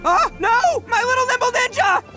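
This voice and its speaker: An actor playing a brash, big-hearted young gang leader in a video game, boisterous and colloquial with boyish bravado. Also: male voice, extremely high pitch, lively intonation, normal speed. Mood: dismayed. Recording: faint game music behind.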